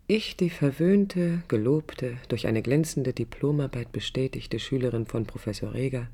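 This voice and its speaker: An actress playing a sensitive, proud yet vulnerable young woman architect, narrating her inner thoughts in short halting phrases for a radio drama. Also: rumbling low, dark tone, husky, full character voice. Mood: insecure, confessional. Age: young adult.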